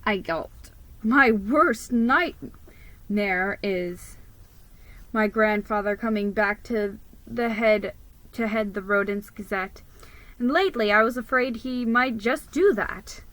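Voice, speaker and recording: Slow, bright-voiced woman reading a story. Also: medium pitch, no echo, rough recording. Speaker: storyteller narrating as a mouse. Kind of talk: dramatic reading of a children's story.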